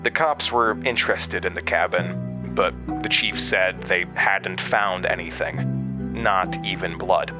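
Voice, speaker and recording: A traumatized man narrating his past in a horror audio drama. His voice is low and very slow, with halting stammers and deliberate phrasing. Music plays clearly beneath him. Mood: somber, subdued. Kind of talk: audio drama monologue, first-person narration. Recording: music under the voice.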